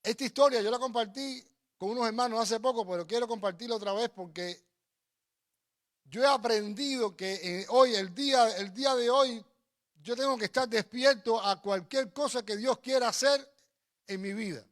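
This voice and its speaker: High-pitched man preaching. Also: normal speed, a bit bright. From Cuba. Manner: emphatic, exhorting, with repeated phrases. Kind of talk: sermon with personal storytelling.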